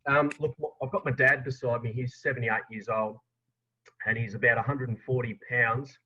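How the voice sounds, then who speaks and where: Lo-fi call-in: Australian male, medium register, hesitant and halting as he puts a question. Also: medium pitch, steady tone, bright, forceful tone, breathy edge. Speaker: caller. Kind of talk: asking a question in a live online Q&A. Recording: dull, lo-fi online call.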